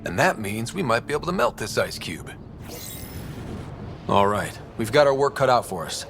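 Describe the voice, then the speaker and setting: Young male sci-fi game lead: casual, wry, decisive, animated mid-range voice over faint music. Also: mid-range voice, normal speed, gravelly. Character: easygoing, decisive young male space explorer hero. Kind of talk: scripted video game acting.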